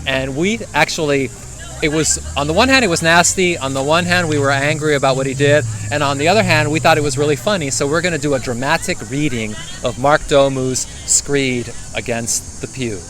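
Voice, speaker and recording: A man's voice: on the high side, fairly quick, a bit bright. A man co-hosting a casual podcast outdoors in a city park, dry and teasing in manner. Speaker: co-host. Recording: faint street noise.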